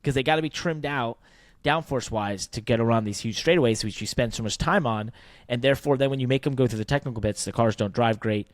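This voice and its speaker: A man talks like a podcast co-host in loose, colloquial banter, animated and quick to joke. His pitch sits in the middle, and the sound is dry with little room in it. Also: dry recording. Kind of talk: casual motorsports banter.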